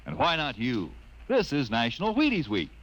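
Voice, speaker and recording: Folksy lively radio ad announcer man. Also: moderate pace. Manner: folksy, chummy sales pitch. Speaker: sponsor announcer. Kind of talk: 1950s radio commercial. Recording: dull lo-fi old radio recording.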